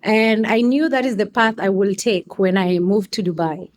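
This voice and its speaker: A young adult woman from Kenya telling a podcast host about her path into coffee, speaking slowly with fillers and restarts. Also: mid-range voice. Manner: unhurried, frequent restarts and fillers. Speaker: guest, coffee customer experience manager. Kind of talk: casual interview answers.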